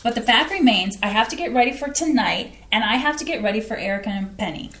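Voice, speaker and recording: A woman's voice: fairly high pitch, lively intonation, quick pace, fairly bright tone, slight background noise. A woman playing a folksy churchgoing mom. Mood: soothing. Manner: soothing, folksy. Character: warm, churchgoing middle-aged mother and wife. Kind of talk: staged play reading. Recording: roomy playhouse stage sound.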